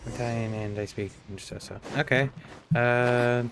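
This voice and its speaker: A man's voice: mid-range voice, fairly even intonation, measured pace, a little hiss or hum. A man streaming a game live, chatting casually in a hesitant, muttering way. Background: game music.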